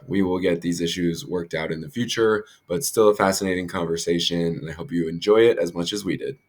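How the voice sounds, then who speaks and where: Deep-voiced man hosting a podcast. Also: a bit bright. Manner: upbeat, with fillers. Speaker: podcast host. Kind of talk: casual podcast introduction. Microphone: video call.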